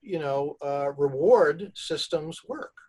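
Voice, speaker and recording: A man's voice: a little high, animated, takes it slowly, mellow. Inquisitive male prescriber asking questions. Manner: inquisitive, repeats words while thinking. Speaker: pediatric prescriber interviewing a guest. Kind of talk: conversational interview.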